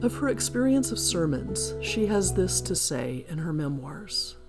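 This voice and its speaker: A woman presenting a scripted narration in a measured, explanatory manner. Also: fairly low pitch, sing-song. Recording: guitar music behind the voice. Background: plucked guitar music.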